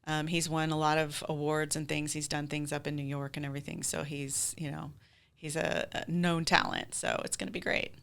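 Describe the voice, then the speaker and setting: A woman's voice: deep voice, level tone, normal speed, gravelly, fairly bright tone, smooth. A woman with an American accent speaking casually as a theater group's guest in an interview.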